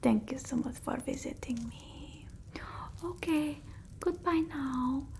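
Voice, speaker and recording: Woman playing a high, whispery, slow-talking vampire mistress. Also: heavily acted. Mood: fond. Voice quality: breathy, soft, often whispering. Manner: slow, hesitant, formal, politely imperious. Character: female vampire, haughty but fond mistress to her familiar. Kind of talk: ASMR roleplay.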